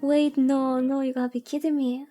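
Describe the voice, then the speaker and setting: A woman with a high-pitched, gently dark and relaxed voice reads a visual novel on a livestream. She is informal, mixing narration with playfully exasperated asides, over faint background music. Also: moderate pace. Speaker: streamer reading a visual novel aloud. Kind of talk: casual livestream reading with commentary.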